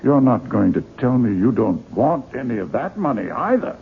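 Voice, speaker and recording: An avuncular book publisher in a seventies radio drama. He is eager and coaxing, with a creaky voice that swings widely in pitch. The recording is narrow, with faint noise. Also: very animated, moderate pace. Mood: doubtful, persuading. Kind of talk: 1970s radio drama acting.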